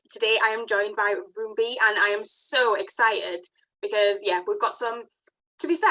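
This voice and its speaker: Bright, eager woman hosting a podcast. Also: fairly high pitch, poor audio. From African family, raised in the UK. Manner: enthusiastic, casual, lots of fillers. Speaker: podcast host.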